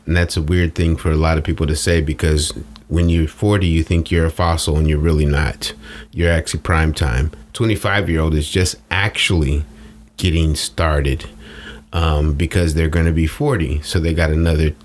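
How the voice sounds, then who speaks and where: A man's voice: a bass voice, steady tone, normal speed. A man talking casually as a strategist guest in an interview, explaining in colloquial phrases and coaching with rhetorical questions. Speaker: social media strategist guest. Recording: noticeable background noise.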